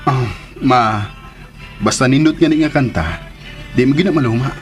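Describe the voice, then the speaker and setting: A man's voice: mid-range voice, moderate pace, faint music behind, close to an everyday voice. An actor playing a teasing, smitten young businessman in a romantic radio drama. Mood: easygoing.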